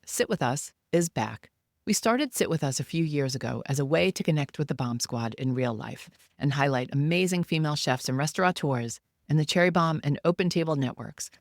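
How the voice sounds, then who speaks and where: A woman's voice: low voice, no room sound, clean studio sound. A woman speaking as a podcast host, reading announcements and interviewing a guest. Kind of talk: scripted podcast intro and interview.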